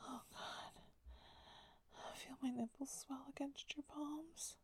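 Soft breathy whispering woman. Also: high-pitched, steady tone, a little slow, no echo. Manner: breathy pleading whisper, stammering. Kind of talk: whispered erotic audio roleplay.

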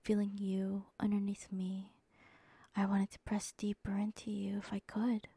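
A woman whispering an erotic story softly and dominantly into a close mic. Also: medium pitch, completely flat delivery, unhurried, breathy edge, dry recording. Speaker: erotic audio narrator.

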